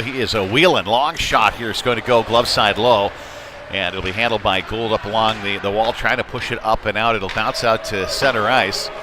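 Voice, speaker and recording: Man calling hockey over clear rink noise. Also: fairly low pitch. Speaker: play-by-play sports commentator. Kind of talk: live youth hockey play-by-play commentary. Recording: noisy live rink ambience. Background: ice rink game noise.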